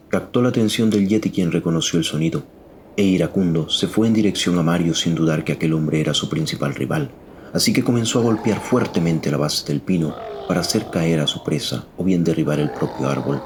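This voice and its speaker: Male narrator, very low register, solemn suspense storytelling, faint ambient noise behind. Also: a little fast. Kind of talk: dramatic scripted story narration.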